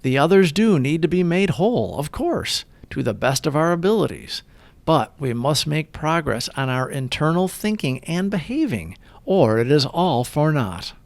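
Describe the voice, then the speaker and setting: Male devotional reader, earnest and measured, noticeable vocal fry, very dry close sound. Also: fairly high pitch, big pitch swings, no echo. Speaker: host of a recovery meditation reading. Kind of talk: scripted devotional read-aloud.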